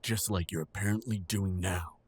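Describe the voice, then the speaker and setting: A man's voice: deep voice, fairly even intonation, moderate pace, slightly rough. A man playing a stern middle-aged father in an audio drama, accusing and self-righteous toward his grown son. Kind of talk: audio roleplay drama acting.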